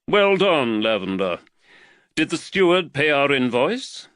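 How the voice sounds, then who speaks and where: A man reading an audiobook voices an earnest, fretful London magistrate, speaking higher, louder and more emphatically than in his narration. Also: sing-song, a little slow, smooth, no room sound, studio quality. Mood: genial. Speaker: magistrate and employer.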